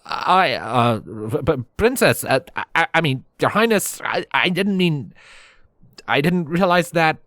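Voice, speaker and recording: A man's voice: lowish voice, sing-song, normal speed, a bit bright, dry recording, clean studio sound. A man playing an awkward, flustered, self-deprecating male hero who speaks hesitantly and stammers, in a fantasy story.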